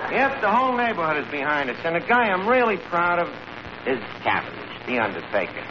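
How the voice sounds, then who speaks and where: Brash high-pitched New York tavern schemer. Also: male voice, sing-song, fairly quick. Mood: brash, boastful. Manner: wisecracking, mock-pompous explanations full of malapropisms. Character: brash, scheming tavern manager who mangles big words. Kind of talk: 1940s radio comedy acting. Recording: noisy, muffled old radio recording.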